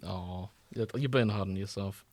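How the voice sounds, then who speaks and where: A man's voice: low-pitched, normal speed, no echo. A man co-hosting a podcast in casual banter, chatting informally and swearing now and then. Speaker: podcast co-host.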